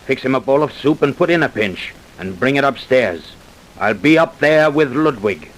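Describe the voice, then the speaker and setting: An actor playing a cold-blooded, impatient swindling doctor in an old-time radio crime drama, brisk and domineering. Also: male voice, mid-range voice, expressive, lightly acted, low-quality recording. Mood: cold, businesslike. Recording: hissy vintage radio recording.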